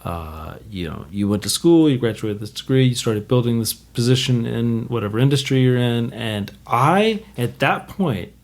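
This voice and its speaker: A man's voice: medium pitch, lively intonation, normal speed, few pauses. A man in his thirties, a guest drummer, talking through his thoughts in casual podcast conversation, rambling and correcting himself as he goes. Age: 30s.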